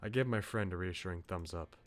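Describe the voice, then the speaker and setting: A man's voice: low voice, fast talker. A man streaming a visual novel, reading it aloud with casual asides and often stumbling over lines and correcting himself.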